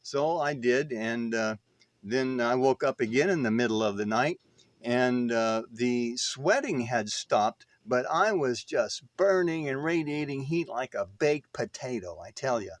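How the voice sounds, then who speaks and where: A man's voice: mid-range voice, expressive, measured pace, dry recording. Folksy older man, rambling storyteller, dry sound. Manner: folksy, self-deprecating. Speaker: vlogger. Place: inside an RV.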